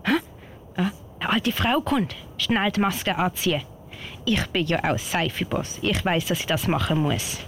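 A woman's voice: on the low side, animated, unhurried, a bit bright. A woman playing a young, earnest girl scout in an amateur radio play, chatting with a Swiss German accent. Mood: concerned, insistent. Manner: chatty, thinking aloud. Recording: slightly noisy amateur recording.

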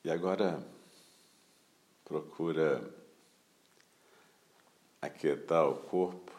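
Very deep-voiced Brazilian man guiding meditation. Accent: Brazilian Portuguese. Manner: calm, unhurried, short phrases with pauses. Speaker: meditation teacher leading a guided practice. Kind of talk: guided meditation instruction.

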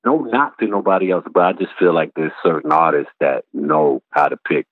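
A man with a deep voice and a Brooklyn accent, chatting casually in slangy, repetitive bursts as an interview guest. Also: a little fast, dry recording. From Brooklyn, New York. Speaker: guest, rapper. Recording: tinny, phone-like line.

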